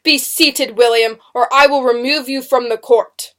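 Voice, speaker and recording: A woman reader puts on a stern male judge from a witch-trial storybook. He is loud and forceful, with a harsh, sneering, accusing manner and an air of total command. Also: on the high side, steady tone, normal speed, close to an everyday voice. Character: booming, sneering witch-trial judge, tall dark-robed man. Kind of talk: dramatic storybook reading.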